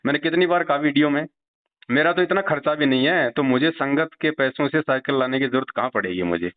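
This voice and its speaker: A man's voice: medium pitch, fast. A middle-aged man, explaining things respectfully and reassuringly in conversation. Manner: respectful, repeatedly addresses the listener. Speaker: religious devotee, video preacher. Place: phone call. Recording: tinny, narrow phone line.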